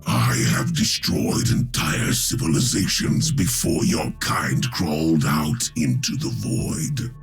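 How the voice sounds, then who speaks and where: Game villain, dark elf warrior, rumbling low, drawn-out slow delivery, heavy rasp, faint music behind. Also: male voice, full character voice. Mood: contemptuous. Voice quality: deep gravelly menacing. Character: towering male dark elf incubus warrior, arrogant, menacing. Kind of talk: video game voice acting.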